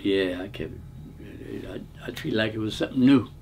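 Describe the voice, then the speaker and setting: A man's voice: lowish voice, fairly quick, creaky, darkish tone, a little hiss or hum. A man in his nineties reminiscing in casual conversation, mostly answering in short agreeing replies. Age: 90s. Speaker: interviewee.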